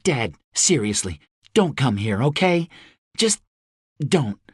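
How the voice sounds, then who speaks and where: A woman's voice: extremely low pitch, big pitch swings, takes it slowly, husky, dry recording, studio quality, voice pushed up, heavily acted. A male narrator voicing a fierce young woman who leads mercenaries under siege, blunt and swearing freely. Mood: incredulous, pleading. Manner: sharp-tongued, swearing, blunt.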